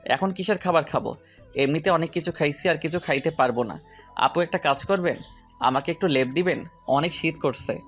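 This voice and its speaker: A man's voice: on the high side, normal speed, fairly bright tone, soft background music. A man playing a shy, injured young man who politely protests and pleads in an audio love story. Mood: tired, apologetic.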